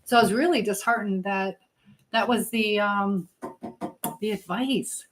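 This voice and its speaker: A woman's voice: mid-range voice, unhurried. A woman hosting a casual live chat, talking directly to viewers and reading out their comments in a conversational way. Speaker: livestream host. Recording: noticeable background noise with clicks.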